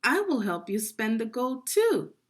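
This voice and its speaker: A woman's voice: a little high, very animated, measured pace, voice pushed up. A woman voicing a lazy middle brother in a children's storybook, with an emphatic, complaining manner. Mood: eager.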